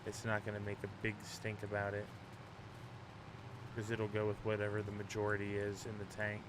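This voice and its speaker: A man with a lowish, congested voice talks through his gameplay in an easygoing, self-deprecating ramble. His delivery stays flat and even. A steady engine rumble from the game runs clearly beneath him. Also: moderate pace. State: slightly congested. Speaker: gaming channel host. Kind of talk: casual gameplay commentary.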